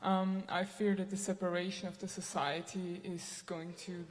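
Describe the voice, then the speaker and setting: A woman's voice: fairly low pitch, little pitch movement, moderate pace. A young woman from Austria, a student panelist, speaking earnestly. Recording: long hall reverb.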